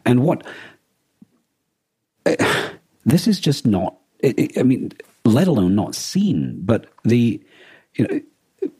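Male podcast co-host, dark husky tone in a dry close recording, reflective and halting. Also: mid-range voice, sing-song, dry recording. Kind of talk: reflective conversational discussion.

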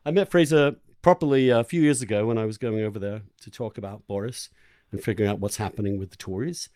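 A man's voice: fairly low pitch, fast talker, a little mellow. A man hosting a conversational interview podcast, speaking in an enthusiastic, emphatic and informal way. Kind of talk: conversational interview with a spoken introduction.

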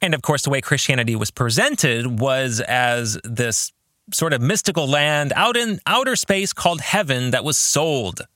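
A middle-aged man hosts a reflective podcast monologue on his own, speaking earnestly and deliberately and stretching phrases for emphasis. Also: mid-range voice, expressive, bright, forceful tone, dry recording, clean studio sound. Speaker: podcast host and author. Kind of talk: reflective monologue about faith and fiction.

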